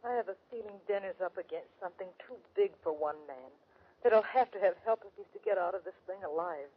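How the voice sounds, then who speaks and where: A worried frontier woman with a husky voice. She narrates earnestly, as in an old radio drama. The recording is thin and phone-like. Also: medium pitch, lively intonation, fast. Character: anxious young frontier woman reading her letter.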